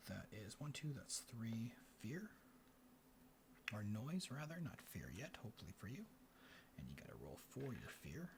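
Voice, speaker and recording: Soft-spoken, whispering ASMR man, background noise. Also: mid-range voice, very animated, vocal fry. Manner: murmured, unhurried play-by-play to himself and the players. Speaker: host of a board game ASMR show. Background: room ambience, board game handling sounds.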